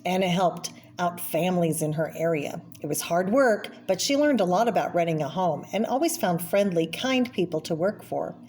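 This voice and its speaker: Lower-voiced woman reading aloud, noisy recording. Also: lively intonation, moderate pace, few pauses, darkish tone. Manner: explanatory, pointing out pictures and asking the listener questions. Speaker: read-aloud storyteller. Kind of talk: reading a children's picture book aloud, with side comments. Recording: clear background noise.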